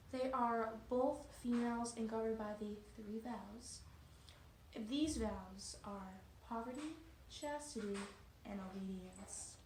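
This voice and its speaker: A woman playing a devout religious sister from eighteenth-century France as an interview guest, speaking earnestly and a little haltingly in an amateur role-play. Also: a little high, a little mellow. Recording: roomy, distant mic with background noise.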